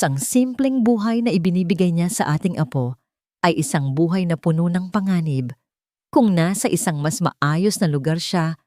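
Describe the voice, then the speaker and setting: A woman's voice: on the low side, warm tone, no echo, studio quality. A woman narrator voicing a stern, controlling older grandmother in a dramatic story, speaking formally and firmly. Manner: formal, firm, haughty.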